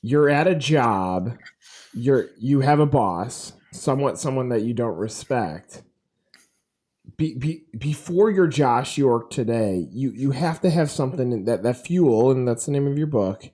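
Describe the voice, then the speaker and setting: A man's voice: medium pitch, lively intonation, a little slow, warm tone. Congested man hosting a casual podcast. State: congested with a cold. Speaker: podcast host, interviewer. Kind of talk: casual interview chat.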